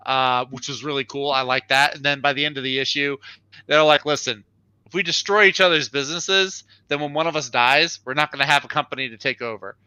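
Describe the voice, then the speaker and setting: A man's voice: medium pitch, fairly even intonation, fairly quick, sharp tone, clear, resonant tone, no room sound, rough recording. A man chatting casually and enthusiastically as he reviews on a livestream show, informal and unscripted.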